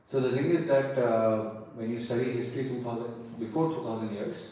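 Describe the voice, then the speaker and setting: A man's voice: mid-range voice, a little fast, mellow, a little hiss or hum. A man giving a lecture on history and archaeology and answering audience questions, explaining patiently and repeating key points. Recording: echoey hall, mic far off, faint ambience.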